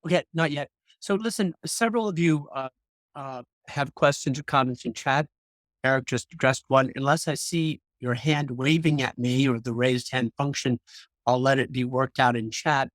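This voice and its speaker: A man moderating a discussion, brisk and directive as he hands out turns. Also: medium pitch, no room sound.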